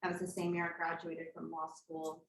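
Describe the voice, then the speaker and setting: A woman's voice: low voice, monotone, moderate pace, creaky, a little hiss or hum. A woman in her thirties, from Colombia, giving an earnest campaign speech at a community meeting, with frequent filler words. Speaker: political candidate. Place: church meeting hall. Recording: echoey hall.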